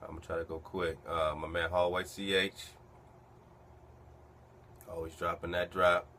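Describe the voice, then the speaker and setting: A man hosting a casual live stream, hurried and slangy, repeating himself as he rushes. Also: low-pitched, level tone, pushed and bright, a little hiss or hum. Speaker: host.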